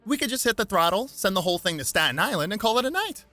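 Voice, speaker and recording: Man putting on a higher-pitched, snappy young superheroine. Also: sing-song, fast talker, bright, forceful tone, faint music behind, heavily acted. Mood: cocky. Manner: snappy, flippant. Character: bold, sassy, impatient young superheroine.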